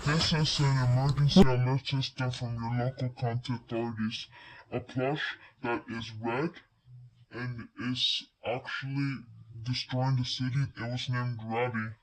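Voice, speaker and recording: A man delivering an amateur emergency alert announcement in halting, improvised phrasing. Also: mid-range voice, little pitch movement, rough recording.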